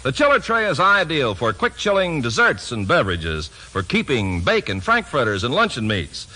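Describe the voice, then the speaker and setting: An American man announces a 1950s radio commercial with a forceful, bright delivery and hugely swinging intonation. The voice carries a long hall reverb on old broadcast tape. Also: mid-range voice, wildly swooping pitch, normal speed.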